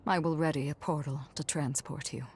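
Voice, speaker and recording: Solemn mother figure, video game cutscene, deep female voice, measured formal delivery, music behind. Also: fairly even intonation. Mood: solemn, resolute. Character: solemn, commanding mother guiding heroes to their final mission.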